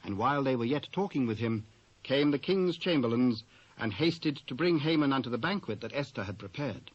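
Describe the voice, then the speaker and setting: A man's voice: mid-range voice, animated, brisk, dry recording. A British man narrating scripture from a script, as the storyteller in a dramatised reading. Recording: dull lo-fi cassette audio.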